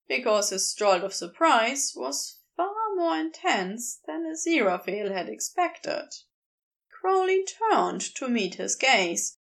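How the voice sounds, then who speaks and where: A woman narrating a scripted story as an audiobook reader. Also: fairly high pitch. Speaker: podfic narrator.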